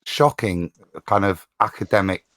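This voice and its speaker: A man on a discussion panel, talking casually in a halting, circling, indignant way. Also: low voice, fairly bright tone, no echo. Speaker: panelist on a live show.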